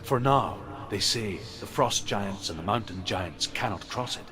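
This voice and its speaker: An actor playing a solemn teller of Norse doom myths in a video game, speaking with grave foreboding. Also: male voice, on the low side, lively intonation, unhurried. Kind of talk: dramatic game storytelling. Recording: clear ambient noise behind.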